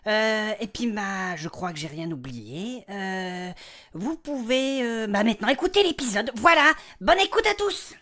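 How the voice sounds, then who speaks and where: A woman's voice: mid-range voice, animated, a little slow, fairly bright tone. A woman voicing an easily exasperated, flustered warrior woman in a comic fantasy audio drama. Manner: hesitant, defensive, scolding.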